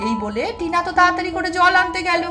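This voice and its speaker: A woman's voice: extremely high pitch, lively intonation, fast, music in the background. A woman narrating a children's doll story as the channel's host.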